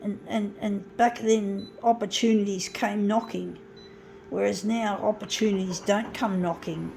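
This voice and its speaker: A woman's voice: medium pitch, steady tone, measured pace, gravelly, mellow, a little hiss or hum, poor audio. A woman in her fifties talking in a rambling, self-deprecating monologue, growing frustrated and swearing as she goes. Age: 50s. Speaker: vlogger. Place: indoors, room with a copier.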